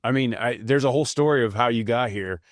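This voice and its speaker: A man's voice: mid-range voice, no room sound, studio quality. Upbeat man hosting a podcast. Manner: upbeat, friendly, talks in quick bursts with restarts. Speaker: podcast host. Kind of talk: casual interview chat. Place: podcast studio.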